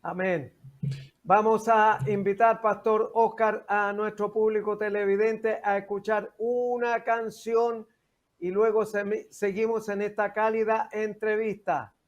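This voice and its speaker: An older man with a Chilean accent hosting a religious interview as a pastor, speaking in a deliberate, halting, preacherly way on a dull recording. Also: high voice, little pitch movement. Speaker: TV host and pastor. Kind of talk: religious interview, devotional talk.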